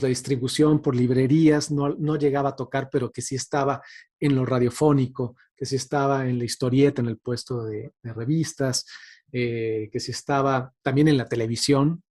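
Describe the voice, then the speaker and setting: A man's voice: mid-range voice, a little mellow. A man giving an informal academic talk, speaking thoughtfully and hesitantly and often checking for agreement. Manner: hesitant, thoughtful, frequently seeking agreement with tags. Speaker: guest speaker giving a talk on radio drama.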